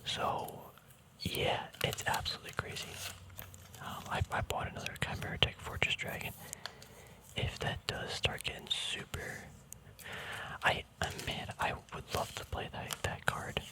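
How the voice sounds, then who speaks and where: Whispering man, laid-back ASMR chat. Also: unhurried. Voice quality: soft breathy whisper. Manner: laid-back, informal, self-deprecating. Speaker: ASMR card collector host. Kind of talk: whispered ASMR, casual rambling deck walkthrough.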